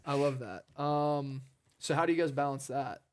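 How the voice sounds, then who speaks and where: A man's voice: mid-range voice, steady tone, moderate pace. A man in his twenties hosting a casual interview chat, affirming and often repeating short phrases. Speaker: podcast host. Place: at a dining table in a home. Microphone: headset.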